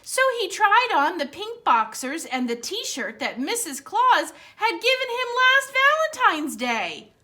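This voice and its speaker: A woman reading a children's picture book aloud as a storytime host, with expressive, dramatic emphasis and a way of speaking directly to young listeners. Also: very high voice, unhurried, hardly any pauses.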